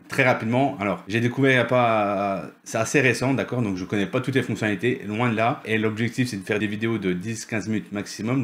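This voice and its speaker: Man, lowish pitch, tutorial host, casual, unscripted, hesitant delivery, repeated words, frequent check-ins with the listener. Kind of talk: casual software tutorial with screen share.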